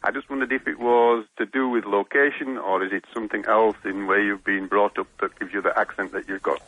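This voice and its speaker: A man's voice: lowish voice, steady tone, brisk, a bit bright, highs cut off. A man with a Yorkshire accent calling in to a radio show with a friendly question. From England. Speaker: caller.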